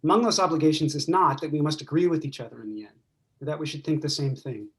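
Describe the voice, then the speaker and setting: Host, male, slightly high voice, measured and reflective opening speech. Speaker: event host. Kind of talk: prepared opening remarks.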